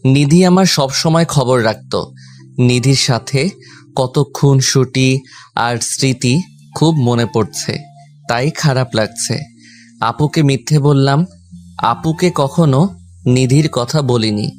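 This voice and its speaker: A man's voice: mid-range voice, slow pace, long pauses, a little hiss or hum. A man reading a scripted first-person story as its narrator and lead character. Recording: background music under the voice. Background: music.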